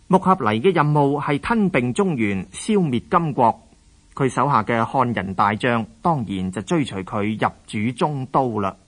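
Male narrator for historical radio drama, Hong Kong Cantonese accent, medium pitch, scripted storytelling. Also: expressive, unhurried.

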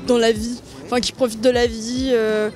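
A woman's voice: on the high side, flat delivery, normal speed, a little mellow, faint music behind. A young woman answering a street interviewer hesitantly outdoors, with many fillers. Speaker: passer-by interviewed. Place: city square outdoors. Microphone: handheld mic.

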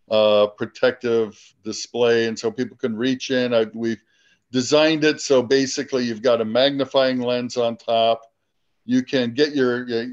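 An older man, a guest speaker in an online meeting, explains an outreach program informally, in a measured way with pauses mid-sentence. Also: medium pitch, darkish tone. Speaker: guest speaker from a space research institute. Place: small room at home, indoors. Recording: dull lo-fi video-call audio.

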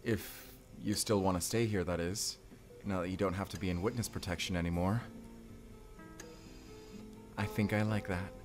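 A man acting a gentle, affectionate father and partner in an intimate audio roleplay, speaking softly and hesitantly. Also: deep voice, steady tone, moderate pace, pauses often, over loud music, close to an everyday voice. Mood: tender, hesitant. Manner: soft, tender, hesitant.

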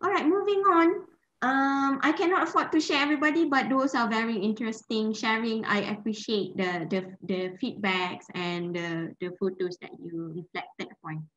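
A woman's voice: a little high, moderate pace, fairly bright tone. A woman in her thirties with a Malaysian accent, teaching an online class informally and often checking in with listeners over a headset on a video call. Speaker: university lecturer leading an online training. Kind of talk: interactive online lecture. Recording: dull, lo-fi video-call audio.

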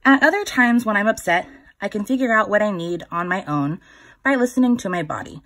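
A woman reading a picture book aloud to young children in a gentle, instructive way, with a slightly husky voice. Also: mid-range voice, expressive. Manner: gentle, instructive, pausing to put questions to the child listener. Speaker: children's story reader.

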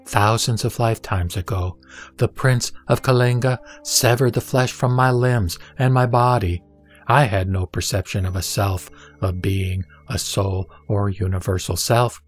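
A man playing a serene, authoritative spiritual teacher, measured and didactic, in a scripted sutra audio drama. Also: lowish voice, close to an everyday voice. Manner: solemn, measured, didactic delivery. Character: the Buddha, serene, authoritative male spiritual teacher instructing a disciple.

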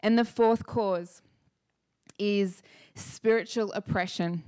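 Energetic woman preaching with a smooth voice. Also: mid-range voice, slow pace. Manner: energetic, emphatic, repeats key lines and asks "Amen?". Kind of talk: sermon. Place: church stage with dark blue lighting. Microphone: handheld microphone.

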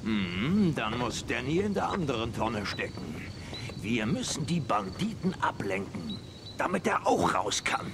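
Slow, self-assured western general. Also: male voice, mid-range voice, big pitch swings. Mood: satisfied, plotting. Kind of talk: German children's audio drama acting. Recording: clear background noise.

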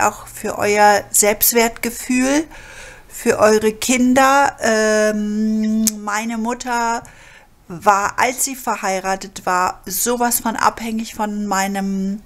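A woman's voice: mid-range voice, fairly even intonation, takes it slowly. A woman giving her personal opinion in a discussion, earnest and emphatic, speaking directly to the listeners. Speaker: podcast discussion partner.

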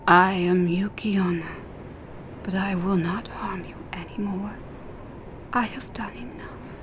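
A woman voicing a sorrowful female snow spirit in a soft, ghostly whisper. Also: mid-range voice, background noise, close to an everyday voice.

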